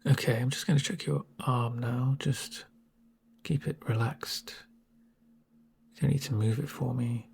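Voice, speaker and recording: A man playing a calm, reassuring medic with dry humour in a soft-spoken, hushed ASMR roleplay. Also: medium pitch, vocal fry, slight background noise. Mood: soothing, calm. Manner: unhurried, soothing, deadpan asides. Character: calm male corporate medic, gentle, reassuring, drily sardonic. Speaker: medic caring for a patient.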